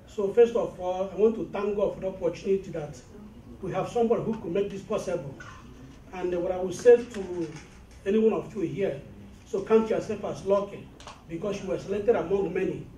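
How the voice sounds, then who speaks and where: A man's voice: sits high, fairly quick, mellow, slight background noise. A middle-aged man from Nigeria, a business owner, giving an earnest speech at a networking event in a hotel meeting room. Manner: earnest, advising. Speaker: business owner addressing fellows. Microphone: handheld mic. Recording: echoey room, mic from far off.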